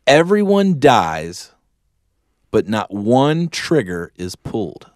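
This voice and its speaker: A man's voice: medium pitch, big pitch swings, takes it slowly, darkish tone, dry recording, clean studio sound. A man hosting a podcast in a casual, conversational style. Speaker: podcast host. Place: dry podcast studio with a booth.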